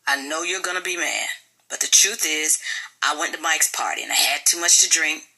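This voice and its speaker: A woman's voice: sits low, moderate pace, bright, forceful tone, heavily acted, low-quality recording. A woman voicing an evasive, self-justifying husband in an audiobook, talking defensively. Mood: defensive, irritated. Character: defensive, evasive cheating husband, adult man. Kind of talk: audiobook character dialogue.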